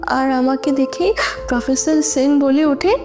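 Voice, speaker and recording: Distraught young female student, very high voice, slow halting courtroom confession over music. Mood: shaken, grave. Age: young adult. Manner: halting, repeating words, emotional. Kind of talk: dramatic confession in audio drama. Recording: music under the voice.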